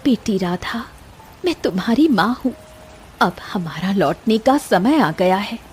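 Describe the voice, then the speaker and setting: A woman voicing a magical forest queen, an earnest and gentle mother, in a storybook tale. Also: mid-range voice, expressive, measured pace, slight background noise, close to an everyday voice. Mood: tender.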